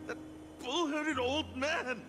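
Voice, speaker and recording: A man voicing a brash son in a video game who gruffly hides his grief, blunt, grumbling and emotional. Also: extremely high pitch, slow pace, over music. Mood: grieving. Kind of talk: video game story acting.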